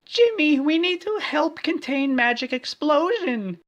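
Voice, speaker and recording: Guy doing a excitable student girl, high strained voice, super melodramatic, crying everything out. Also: measured pace, heavily acted. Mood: frantic, shouting. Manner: melodramatic, exclaiming. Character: excitable young female student scientist, frantic and shrieky. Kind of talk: parody fan fiction character voice.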